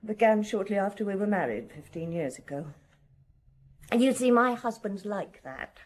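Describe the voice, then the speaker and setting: A woman's voice: mid-range voice, lively intonation, normal speed, darkish tone, close to an everyday voice. Brittle, elegant, nervous wife played by a woman. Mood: bitter, anxious. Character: elegant, nervous, bitter wife of a missing solicitor. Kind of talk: radio drama acting.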